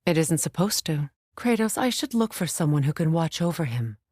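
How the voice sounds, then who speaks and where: An actress playing a composed warrior goddess in a video game, speaking in an earnest, formal manner. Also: female voice, deep voice, very animated, normal speed, a little mellow, husky, no room sound, studio quality, exaggerated voice. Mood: measured, serious. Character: grave, composed warrior goddess, female companion in battle. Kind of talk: dramatic video game dialogue.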